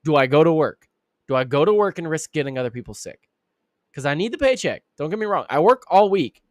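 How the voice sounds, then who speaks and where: Raspy guy, kinda sick, rambling live stream host, dry close mic. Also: very animated, a little fast, dry recording. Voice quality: raspy, deeper than usual. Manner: rambling, many restarts and fillers. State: sick, clearing throat and coughing. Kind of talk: casual live-stream commentary.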